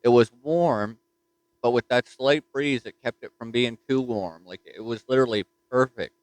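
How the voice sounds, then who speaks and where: A man with a Newfoundland accent chatting casually as a ham radio operator from his home station, relaxed and easygoing. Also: mid-range voice, normal speed, no echo, rough recording. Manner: easygoing, says "roger that".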